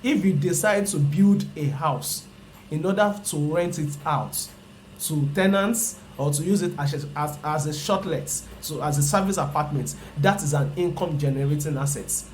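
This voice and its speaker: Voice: medium pitch, normal speed, darkish tone, slight background noise. Emphatic Nigerian-accented finance lecturer. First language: Yoruba. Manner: emphatic and repetitive, restating points, asking rhetorical questions. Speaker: personal finance educator. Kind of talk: explanatory lecture to camera.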